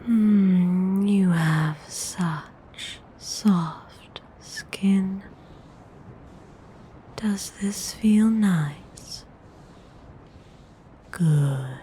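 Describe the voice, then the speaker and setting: A woman playing a gentle, seductive vampire noble in a whispered ASMR roleplay, breathy, soothing and intimate. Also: mid-range voice, slight background noise. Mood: soothing, sensual. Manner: slow, soothing, intimate, unhurried.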